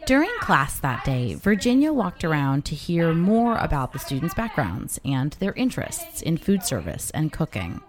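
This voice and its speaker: A woman with a deep voice narrates a documentary. Her pitch moves a lot from phrase to phrase, and her storytelling is lively and expressive. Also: moderate pace, hardly any pauses. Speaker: reporter narrator.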